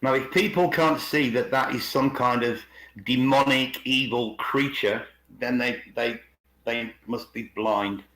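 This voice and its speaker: A man's voice: mid-range voice, measured pace, fairly bright tone. A man giving an informal presentation with commentary over a video call, often asking rhetorical questions and repeating phrases for emphasis. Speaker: video channel host. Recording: echoey video call audio.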